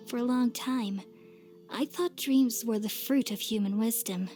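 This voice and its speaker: A woman voicing a gentle, wise and sorrowful ancient goddess in an anime fantasy game, speaking in formal, measured phrases. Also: fairly high pitch, faint music behind. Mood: solemn, regretful.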